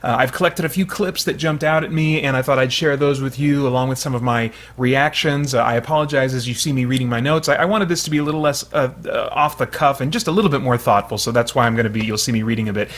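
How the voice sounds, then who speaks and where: A man's voice: mid-range voice, quick pace, hardly any pauses, a bit bright. A man hosting a channel, giving casual, partly read commentary in a hesitant, thoughtful, explanatory way.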